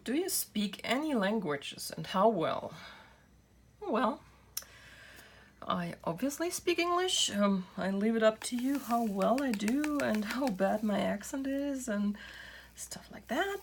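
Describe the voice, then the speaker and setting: Slow, gravelly-voiced German woman chatting. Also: on the high side, lively intonation, slight background noise. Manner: chatty, self-deprecating, laughs often. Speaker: craft channel host. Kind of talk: casual chat to camera.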